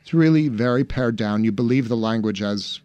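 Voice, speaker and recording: A man's voice: mid-range voice, moderate pace, a little mellow, clean tone, no room sound, dull audio. A man in his forties from Pittsburgh, an actor talking casually in an interview, thoughtful, often restarting sentences and laughing easily. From Pittsburgh, USA. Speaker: stage actor guest. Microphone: studio mic.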